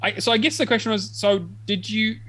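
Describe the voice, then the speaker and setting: A man hosts a gaming podcast and fires off loose questions, often repeating words as he starts. He talks quickly, with his voice sitting slightly high for a man. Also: fairly even intonation, fairly bright tone. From New Zealand. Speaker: podcast host. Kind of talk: casual podcast chat.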